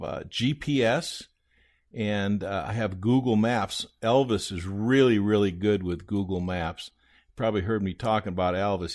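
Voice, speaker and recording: Man playing a low-voiced, folksy old Santa Claus. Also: lightly acted. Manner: rambling, hesitant, folksy storytelling. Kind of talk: improvised in-character answers on a children's radio-style podcast.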